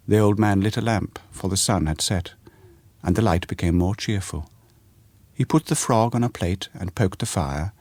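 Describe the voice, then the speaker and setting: A man reading a short story aloud as radio narration, in a measured, quiet storytelling manner. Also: low-pitched, dry recording. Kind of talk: scripted narration. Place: radio studio.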